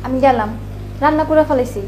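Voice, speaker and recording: A woman playing a dutiful, chatty housemaid in a TV drama. She speaks quickly in a high voice with a Bangladeshi accent, with faint music behind. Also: close to an everyday voice, rough recording. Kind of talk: TV drama acting.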